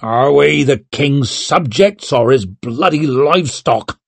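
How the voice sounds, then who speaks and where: A man's voice: fairly high pitch, big pitch swings, measured pace, gravelly, husky, pitched above the performer's own voice, full character voice. A man voicing an indignant old lord who grumbles and growls in an audiobook.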